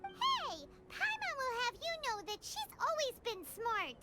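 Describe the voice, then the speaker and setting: A very high, squeaky little fairy girl chirps like a cheeky game sidekick. Her pitch leaps about in an exclamatory, childlike way. Loud music plays behind her. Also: huge pitch swings, a little slow, exaggerated voice. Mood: excited, proud. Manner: exclamatory, refers to herself in third person. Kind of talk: video game character dialogue.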